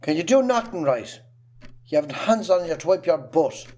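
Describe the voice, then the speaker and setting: A man acting a bullying, miserly farmer father in an amateur drama, blunt and gruff, with an Irish accent. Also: on the high side, very animated, moderate pace, a little mellow. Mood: exasperated, scornful.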